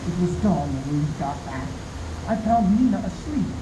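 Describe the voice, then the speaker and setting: A woman's voice: fairly low pitch, animated, normal speed, lightly acted. A woman playing a sober young husband, reading his journal in clipped, matter-of-fact radio drama narration. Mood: grim, weary. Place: community theatre live performance. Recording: echoey room with background noise.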